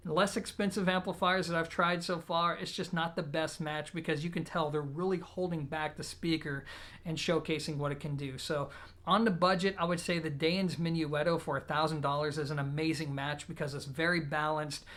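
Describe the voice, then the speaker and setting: A man with a slightly high voice, talking through a product review. His delivery is steady and relaxed, explanatory and unhurried in tone. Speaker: audio equipment reviewer.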